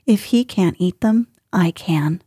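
A woman's voice: fairly low pitch, slow, dark tone, husky, no room sound, studio quality. A woman voicing a cheeky, mischievous teenage girl who talks in a playful, teasing way.

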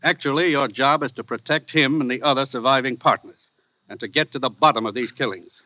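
Guy playing a brisk businesslike commissioner, dry muffled old radio sound. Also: medium pitch, sharp tone, no room sound, close to an everyday voice. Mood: stern. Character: stern male police commissioner. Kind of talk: 1940s radio acting. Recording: dull lo-fi old radio recording.

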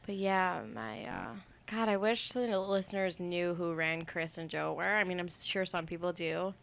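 A woman's voice: fairly low pitch, normal speed, creaky. A woman co-hosting a casual podcast with friends, telling a story in a rambling, laid-back way. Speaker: podcast co-host. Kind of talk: casual chat among friends.

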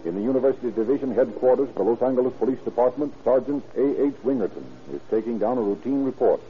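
A man's voice: medium pitch, quick pace, a little hiss or hum. A man announcing and narrating in a polished, formal 1930s radio style from a script. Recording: muffled vintage radio recording.

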